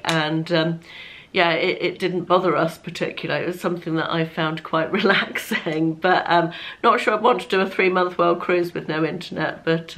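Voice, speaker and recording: A woman talks straight to camera in an easy, chatty vlog. Her voice sits a little low and sounds slightly croaky, and she is self-deprecating, laughing between phrases. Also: fairly bright tone. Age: 50s. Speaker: lifestyle vlogger. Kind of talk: casual chat to camera.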